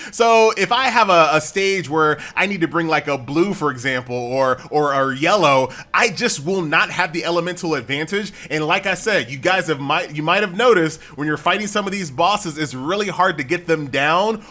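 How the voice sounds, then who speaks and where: American guy chatting through a video game, kinda higher voice, really bright sound, super informal. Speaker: gaming YouTuber. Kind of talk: casual gameplay commentary.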